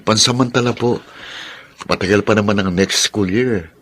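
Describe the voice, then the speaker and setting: Earnest young son, low husky voice. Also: male voice, normal speed, a bit bright. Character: earnest, contrite young son and big brother. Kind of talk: Filipino radio drama acting.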